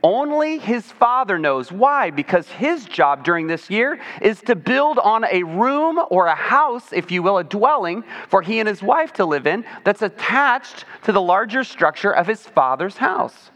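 A man with a high voice giving an explanatory sermon in a conversational, informal way, tossing in rhetorical questions. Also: sing-song, measured pace, hardly any pauses, a bit bright. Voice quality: high-pitched voice. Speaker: preacher. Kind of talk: sermon, explanatory Bible teaching. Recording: long hall reverb.

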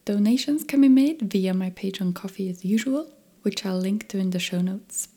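A woman hosting a musicians' podcast, reading her introduction in a soft, measured, half-whispered way. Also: mid-range voice, breathy edge. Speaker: podcast host. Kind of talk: scripted podcast intro and interview. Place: home in Stockholm.

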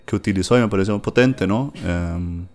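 A man's voice: deep voice, fairly quick, darkish tone. A man, chatting casually about books on a podcast, full of fillers and tag questions. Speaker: podcast host discussing books. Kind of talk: casual literary chat.

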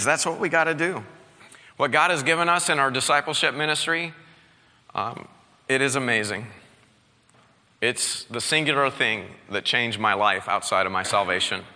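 A male pastor preaching an energetic sermon, exhorting his congregation with call-and-response. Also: fairly high pitch, long reverb tail.

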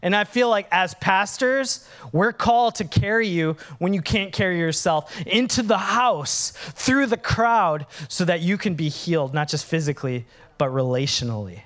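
A man's voice: high voice, normal speed. A man, preaching a candid, humorous sermon as pastor. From Minnesota, USA. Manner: animated, candid, humorous, acts out dialogues.

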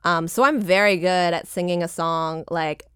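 A woman with a low, smooth and resonant voice talks casually as a musician being interviewed. She is lightly self-deprecating and jokey in her delivery. The sound is dry and close, with very little room. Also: steady tone, normal speed, fairly bright tone, no echo. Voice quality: lower-pitched voice. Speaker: singer-songwriter guest.

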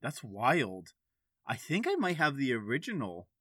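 Guy hosting a podcast, mid pitched voice, blunt casual chat, really dry recording. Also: wildly swooping pitch, measured pace, sharp tone, no echo. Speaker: podcast host.

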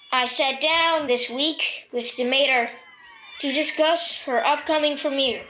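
Portentous TV investigative anchor, played by a woman, bright and slow; mock broadcast in children's radio drama. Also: high-pitched, a little hiss or hum, exaggerated voice, rough recording. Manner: measured, portentous announcing. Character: formal television investigative news presenter.

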